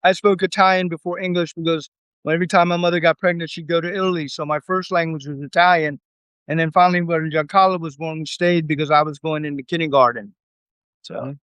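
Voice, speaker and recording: A man speaks as an interview guest, with a smooth, clear voice a little higher than most men's. His answers are relaxed and meandering, with fillers along the way. The recording is dry and close. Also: fast, dry recording, rough recording. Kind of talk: casual conversation.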